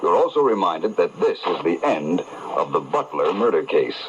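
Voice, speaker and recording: A man's voice: low-pitched, very animated, normal speed. An actor playing a shrewd, urbane detective in an old radio mystery, explaining his case with assurance. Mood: assured. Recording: dull, hissy AM radio reception.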